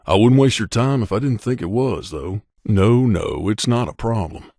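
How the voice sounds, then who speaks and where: Game companion role: male actor as a hardened wandering sellsword, lowish pitch, curt and weary, very dry recording. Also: expressive, normal speed, mellow, no echo. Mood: hesitant, reflective. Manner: gruff, curt, world-weary. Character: grizzled, guarded male mercenary bodyguard, veteran wanderer. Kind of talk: video game companion dialogue.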